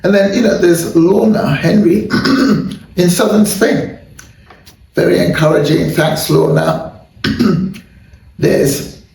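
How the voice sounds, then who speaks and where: A man hosting a casual wellness chat, candid and self-deprecating, with a hoarse voice and frequent throat clearing. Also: high-pitched, slow pace, long pauses, a little mellow. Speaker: host. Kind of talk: casual chat and viewer shout-outs. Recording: roomy echo, far from mic, faint noise.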